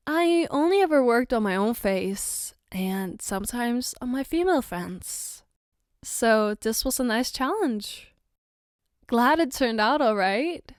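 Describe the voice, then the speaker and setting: A woman playing an affectionate, gently teasing girlfriend in a soft-spoken ASMR roleplay, tender and reassuring. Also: fairly high pitch, clear, resonant tone, no echo, studio quality. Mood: happy, affectionate. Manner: tender, reassuring, playfully coaxing, unhurried. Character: affectionate, gently teasing girlfriend doing her partner's makeup.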